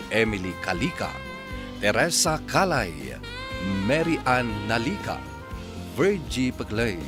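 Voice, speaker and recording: Male radio announcer: animated, deliberate pacing, music clearly underneath. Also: mid-range voice. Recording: loud music behind the voice.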